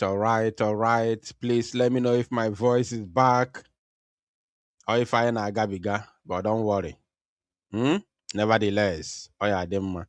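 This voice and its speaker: A man's voice: mid-range voice, fairly bright tone, clear, resonant tone, dry recording. A man hosting a live talk show with callers, often repeating phrases, restarting sentences and switching into Igbo. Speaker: show host taking callers.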